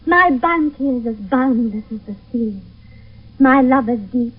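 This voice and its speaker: High breathy voice, ardent young maiden, British, theatrical verse, 1970s radio drama, thin phone-like distant recording. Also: female voice, expressive, unhurried. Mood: tender, adoring. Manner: theatrical, fervent verse delivery. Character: Juliet, lovestruck young maiden, ardent and anxious.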